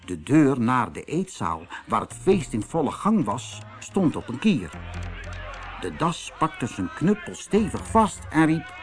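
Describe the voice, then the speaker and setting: A man narrating a children's fairy tale in an expressive, dramatic storytelling manner. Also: medium pitch. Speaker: audiobook narrator.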